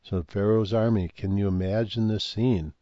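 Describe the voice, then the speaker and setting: An older man talking in a rambling monologue, halting with many fillers and restarts, and losing his voice. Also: lowish voice, steady tone, mellow, no room sound, muffled recording. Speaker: speaker on ancient history and comets.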